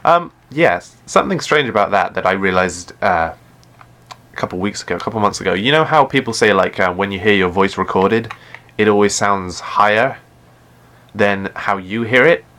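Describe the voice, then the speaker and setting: A man with a deep voice chatting casually while playing a game live, often stumbling and repeating words. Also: expressive, normal speed, bright, forceful tone. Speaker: gaming live streamer.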